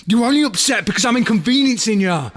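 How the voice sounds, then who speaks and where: A man's voice: sits high, expressive, quick pace, a bit bright. A man playing a hurt, blunt boyfriend accusingly ending a relationship in an audio drama. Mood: bitter, angry. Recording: long reverberant hall sound.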